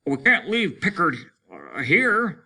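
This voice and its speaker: A man's voice: a little high, huge pitch swings, takes it slowly, vocal fry, bright, forceful tone, pitched above the performer's own voice, exaggerated voice. A man reading a story aloud, voicing a desperate, commanding lieutenant with urgent, pleading force. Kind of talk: dramatic storybook character reading.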